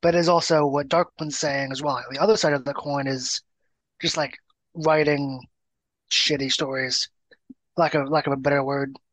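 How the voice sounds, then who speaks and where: A man's voice: a little high, fairly even intonation, normal speed, a bit bright, dry recording, rough recording. A man on a podcast roundtable, giving his view tentatively while searching for words. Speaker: roundtable panelist. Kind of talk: casual podcast discussion.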